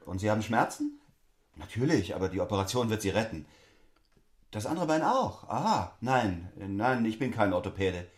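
A man's voice: fairly low pitch, big pitch swings, normal speed. An actor playing an awkward, evasive, polite married man in a theatrical audio drama, speaking in a hesitant, placating way. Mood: awkwardly placating.

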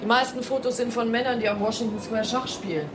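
A woman's voice: fairly high pitch, steady tone, fast talker, background noise, natural voice. A woman playing a composed blonde fashion photographer who speaks in an earnest, matter-of-fact way. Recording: studio radio play with music bed.

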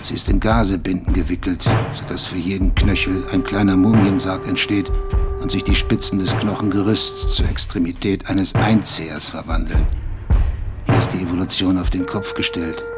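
A man reading a scripted, literary essay as narrator in a measured manner. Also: sits low, level tone. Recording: loud music bed under narration.

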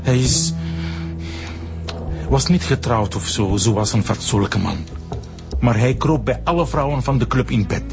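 A man's voice: mid-range voice, measured pace, creaky, pauses often, over music. A man acting an indignant, defensive middle-aged father under police questioning in a naturalistic radio drama. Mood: bitter.

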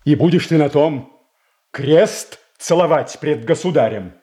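An actor playing a proud, stern old Russian prince in a verse tragedy for audio, declaiming solemnly and with measure. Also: male voice, fairly high pitch, animated, measured pace, dark tone. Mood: challenging, wary.

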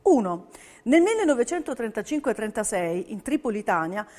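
A woman's voice: on the high side, big pitch swings, moderate pace, a little mellow. A woman narrator. She reads a scripted stage text in a declamatory, emphatic way, as in documentary theatre.

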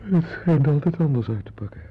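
An actor playing a self-important, opinionated husband in a radio drama, confiding in listeners in a wordy way and hesitant with strangers. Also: male voice, on the high side, animated, a little fast, slightly rough. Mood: musing, confiding. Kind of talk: radio drama acting with narrated asides. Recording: dull, lo-fi old radio play recording.

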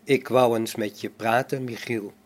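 A man playing a newly activated robot in a science fiction radio play, polite, inquisitive and formal, with measured, deliberate phrasing. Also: medium pitch, steady tone, natural voice.

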